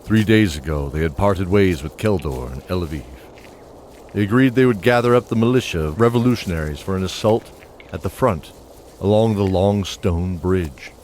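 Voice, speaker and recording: A man narrating a fantasy audio drama in a measured, descriptive storytelling style, with faint music behind him. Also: low-pitched, darkish tone, slight background noise.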